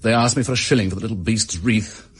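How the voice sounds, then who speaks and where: Husky, desperate Englishman confessing. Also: fairly low pitch, quick pace. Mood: bitter, defiant. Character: desperate, bitter male office worker confessing to murder. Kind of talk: radio drama acting.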